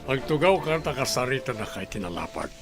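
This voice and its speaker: Insistent father, animated pitch. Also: brisk, music in the background. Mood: agreeable. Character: insistent father pushing his daughter to marry. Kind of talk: Ilocano radio drama acting.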